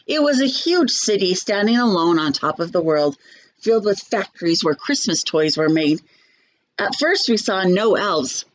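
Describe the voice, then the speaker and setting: A woman reading a children's storybook aloud like a teacher, in an expressive, measured storytelling manner. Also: medium pitch, no room sound, poor audio.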